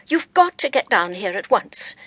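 Forthright English vicar's wife, husky, animated. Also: female voice, mid-range voice, normal speed. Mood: urgent, insistent. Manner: emphatic, blunt, matter-of-fact. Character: forthright, eccentric vicar's wife, brisk and unflappable. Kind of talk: dramatised audiobook acting.

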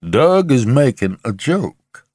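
A man's voice: mid-range voice, very animated, slow pace, darkish tone, dry recording. A stiff, reproving investor in his fifties from Alabama, speaking with a thick Southern drawl, as a male narrator puts him on. The reading is close and dry.

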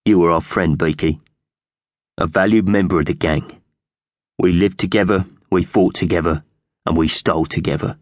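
A man's voice: sits low, expressive, measured pace, no room sound. A man voicing a sleek, cruel magpie gang boss in a children's storybook, solemn, commanding and hard-edged. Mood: solemn, hardening.

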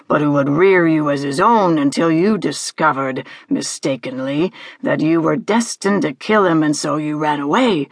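A woman's voice: low voice, no room sound, pitched below the performer's own voice. A woman voicing an imperious, horrid hag who interrogates in a pompous, theatrical way in a storybook reading. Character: imperious, horrid invisible hag demanding answers, female.

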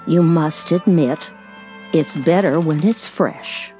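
An actress playing a polite, mild elderly woman in a radio drama, speaking with deliberate care. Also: sits low, warm tone, soft background music. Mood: polite curiosity.

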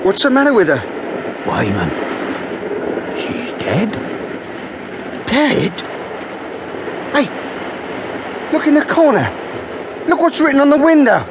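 Alarmed male train passenger, high voice, vintage radio drama, noisy rumbling recording. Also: wildly swooping pitch, slow, long pauses, full character voice. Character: alarmed men discovering a body on a train.

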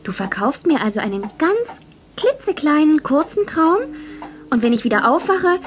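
Little witch girl: excitable, self-important, highly animated, for a children's radio play. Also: normal speed. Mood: curious, bargaining. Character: cheeky little witch girl playing night ghost. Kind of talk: German children's audio drama acting.

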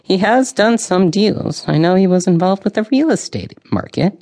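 An actress playing a sharp, gossipy old woman in an audio drama, with a gravelly voice and a knowing, dryly opinionated way of talking. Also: mid-range voice, lively intonation, normal speed, close to an everyday voice. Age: older.

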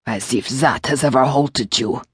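A woman voicing a small, fretful male gargoyle demon in an audiobook, with a low, lilting French accent and wry, courtly phrasing. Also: measured pace, husky, no room sound, clean studio sound, exaggerated voice. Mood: dry, disapproving. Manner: formal, courtly phrasing with endearments.

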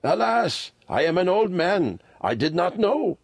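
A man voicing an old Tibetan lama, a gentle, earnest and humble wanderer in a storybook reading. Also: high voice, big pitch swings, measured pace, vocal fry, breathy edge, no room sound, clean studio sound, voice pushed up, heavily acted. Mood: humble, apologetic.

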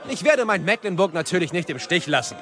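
A man's voice: high-pitched, very animated, fast, a bit bright, heavily acted. An actor playing a proud, loyal, impoverished nobleman with an exclamatory manner in an audio drama. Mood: eager, loyal. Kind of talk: German audio drama acting. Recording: noisy crowded tavern behind.

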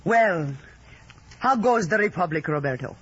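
Fierce, animated guerrilla woman. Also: lowish voice, a little slow, breathy edge. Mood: brusque. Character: fierce, brave, sharp-tongued guerrilla woman. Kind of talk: vintage radio drama acting. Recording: muffled old radio drama recording.

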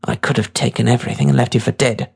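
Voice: medium pitch, steady tone, quick pace, vocal fry, breathy edge, exaggerated voice. A narrator voicing a tough, scornful, streetwise teenage girl with a brash, mocking, bossy way of talking. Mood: scornful, threatening.

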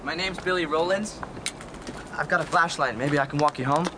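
An actor playing a polite, secretive American teenage boy, halting and deferential, in radio drama dialogue. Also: fairly high pitch, lightly acted. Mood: shy, evasive. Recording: outdoor street ambience with vehicle noise.

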